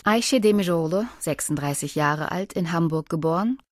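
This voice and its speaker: Low-voiced woman narrating a documentary. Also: over music. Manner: measured, even.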